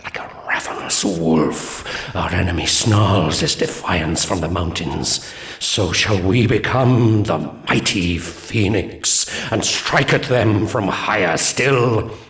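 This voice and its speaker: A man's voice: low voice, takes it slowly, a bit bright, echoey room, full character voice. An actor playing a solemn ancient male alien seer who gives battle briefings in a grave, portentous voice. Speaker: mission briefing narrator. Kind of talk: solemn game cutscene narration.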